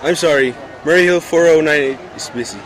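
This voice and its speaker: A woman's voice: sits low, a little fast, loud music behind the voice, lightly acted, poor audio. A woman playing a polite, unruffled telephone operator with a formal, routine manner in an amateur radio play.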